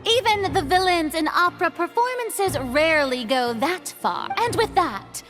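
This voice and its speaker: A grand young court lady from a video game, declares things theatrically. Her high voice swoops about over faint music. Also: animated, moderate pace. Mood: dramatic.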